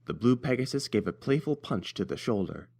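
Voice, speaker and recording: A man's voice: mid-range voice, expressive, fairly quick, a little mellow. A man narrating a scripted story as its reader.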